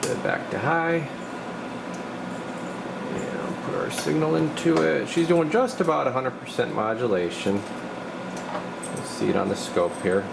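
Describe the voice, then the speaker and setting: A man working as a repair technician gives a casual hands-on walkthrough, halting and thinking aloud as he goes. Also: mid-range voice, creaky, heavy background noise. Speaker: radio repair technician presenting his work. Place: indoor electronics workbench.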